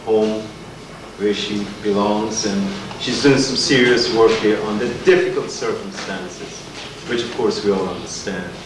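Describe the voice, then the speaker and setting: A man's voice: fairly low pitch, steady tone, a little slow. Man hosting in an echoey hall. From Guyana. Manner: earnest, rhetorical, emphatic. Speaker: event host. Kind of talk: community lecture introduction. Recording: echoey hall, distant mic, noisy.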